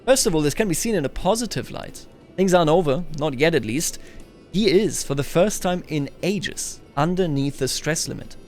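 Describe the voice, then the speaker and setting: A man's voice: fairly high pitch, animated, normal speed, darkish tone, soft background music. A man hosting a game playthrough, musing aloud and reading in-game text with playful theatrical flair. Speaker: host of a let's play series.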